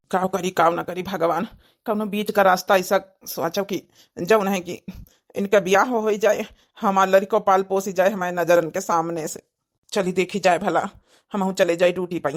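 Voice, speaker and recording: A woman's voice: medium pitch, no room sound, clean studio sound, natural voice. A woman with an Awadhi accent voicing an anxious, pleading young widowed father and elder brother in a cartoon story. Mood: anguished. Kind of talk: amateur cartoon story dialogue.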